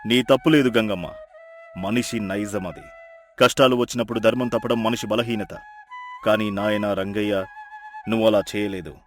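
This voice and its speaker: Deep, solemn male deity played by a man, benevolent and grave, storybook drama with music behind. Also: fairly even intonation, normal speed, long pauses, lightly acted. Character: grave, benevolent male river god in ascetic's guise. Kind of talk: animated moral folktale acting.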